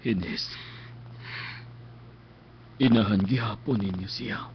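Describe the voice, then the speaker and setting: An actor playing a gentle, ailing old father with a weak, halting delivery in a radio drama. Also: on the low side. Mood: weary, wistful. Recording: muffled lo-fi stream, clear background noise.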